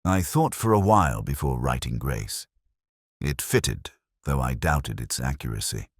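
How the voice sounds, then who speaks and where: A man playing a melancholy, world-weary English police inspector, narrating his own story in a measured, literary, reflective way. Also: a bass voice, animated, slightly rough, no echo, studio quality, exaggerated voice. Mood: melancholy, solitary. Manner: measured, brooding, literary delivery. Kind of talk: scripted first-person narration, literary detective drama.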